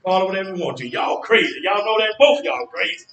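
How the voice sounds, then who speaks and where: Echoey, distant stage recording of a man playing an older American barber with a very high, bright voice. He is a folksy, boastful old boxer who teases and repeats himself for emphasis in a stage play. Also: expressive, quick pace, vocal fry, faint music behind, heavily acted. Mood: boastful, emphatic. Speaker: stage actor. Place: indoor theatre stage, picked up from afar.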